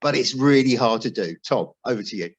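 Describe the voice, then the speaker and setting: A man's voice: mid-range voice, lively intonation, fairly quick, breathy edge, muffled recording. A man hosting a football podcast in a chatty way with wry asides, reading out notes and emails. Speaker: podcast host.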